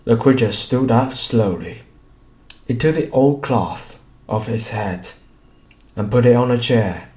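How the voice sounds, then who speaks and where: A man reading an audiobook story in a deliberate way, pausing between short phrases. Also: mid-range voice, warm tone, roomy sound. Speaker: audiobook reader. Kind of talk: scripted story narration.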